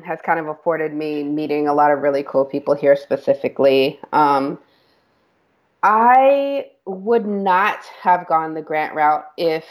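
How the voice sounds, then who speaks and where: A woman creative director speaking as a podcast guest, candid and chatty, laughing now and then and correcting herself as she goes. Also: sits low. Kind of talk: casual interview talk.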